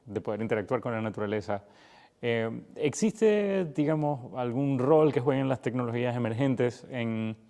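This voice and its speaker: Mellow-voiced male podcast host. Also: medium pitch, animated. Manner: asks long questions with halting pauses. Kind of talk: podcast interview.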